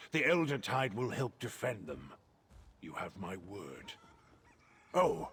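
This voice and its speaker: An actor playing a grave, defiant druid elder in a fantasy video game, speaking in a measured, solemn way. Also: male voice, mid-range voice, fairly bright tone, a little hiss or hum, full character voice. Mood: matter-of-fact. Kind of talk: video game quest dialogue.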